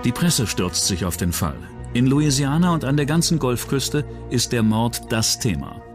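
A man's voice: mid-range voice, expressive, normal speed. A man reading scripted true-crime documentary narration as a voice-over. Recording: voice-over with prominent music. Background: music.

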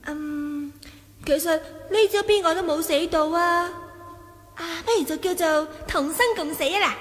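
A woman's voice: extremely high pitch, steady tone, a little slow. An actress with a Hong Kong Cantonese accent playing a coy, teasing young swordswoman in a 1980s radio drama. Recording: 1980 radio drama, loud music and crowd.

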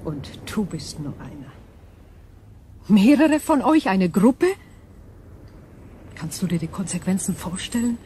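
An actress voicing an ancient, logical female crystal being in a radio drama, speaking in a measured, formal and deliberate way. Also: mid-range voice, big pitch swings, dark tone. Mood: calm, pensive. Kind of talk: German radio drama acting. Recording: clear background noise.

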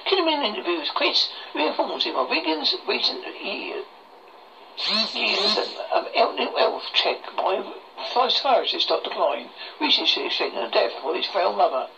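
A horror host reading a scripted story aloud in a halting way, stumbling over words, on a muffled lo-fi recording. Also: medium pitch, sing-song, vocal fry. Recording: muffled lo-fi recording with faint noise.

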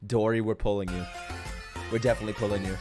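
A man doing casual live-stream reaction commentary to game trailers, excitable, slangy and profane. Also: on the low side, fast talker. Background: game trailer music.

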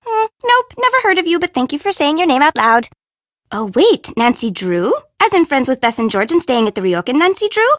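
A sassy young woman from a bento shop in a video game, pitched high with big, animated swings. She is bubbly and teasing, quick to turn sarcastic, and sounds dry and close. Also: no room sound. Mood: teasing, brisk. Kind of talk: video game character voice acting.

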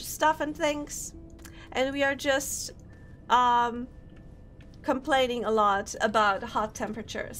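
A woman's voice: high voice, a bit bright. A young woman with a Polish accent, a Polish native speaker chatting bubbly on a gaming live stream and greeting viewers. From Poland. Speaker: VTuber streamer. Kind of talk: casual live-stream chat while gaming.

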